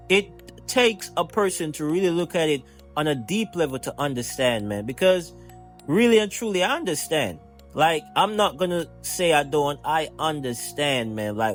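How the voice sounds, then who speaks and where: A man's voice: fairly high pitch, fairly bright tone. A man giving casual, emphatic commentary, repeating his points and addressing the listener directly as a channel host. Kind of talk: casual reaction commentary to camera or mic. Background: music.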